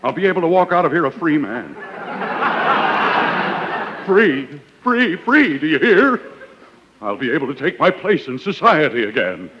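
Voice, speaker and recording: A man's voice: fairly high pitch, big pitch swings, measured pace, long pauses, noisy background. Flustered man in 1940s radio comedy. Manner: flustered, put-upon comic delivery. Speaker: announcer and comic foil. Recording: dull 1940s radio broadcast recording.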